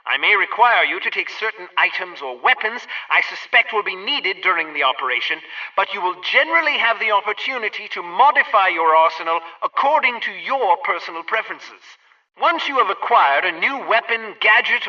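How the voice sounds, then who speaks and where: A man's voice: sits high, sharp tone, recorded from a distance, highs cut off, heavily acted. An actor playing a fussy, eager male gadget instructor in a spy video game, speaking in precise, wordy, formal lessons. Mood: precise, enthusiastic. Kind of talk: scripted instructional narration, video game acting.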